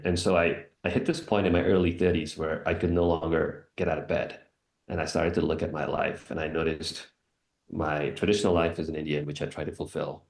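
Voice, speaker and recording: Very deep, flat-voiced gravelly man. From Singapore. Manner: reflective, earnest, measured. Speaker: panelist, wilderness guide. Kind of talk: personal storytelling on a panel.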